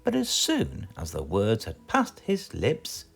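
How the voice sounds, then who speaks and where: A man with a British accent reading a children's storybook aloud in a theatrical, expressive way. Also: on the low side, takes it slowly, gravelly, over loud music. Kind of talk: animated children's storybook narration.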